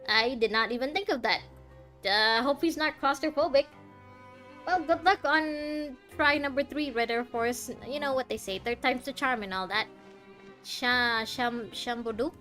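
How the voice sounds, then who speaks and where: A woman streams a game with casual running commentary. Her voice is a bit high and she rambles with hesitations. Soft music plays in the background. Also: unhurried, low-quality recording.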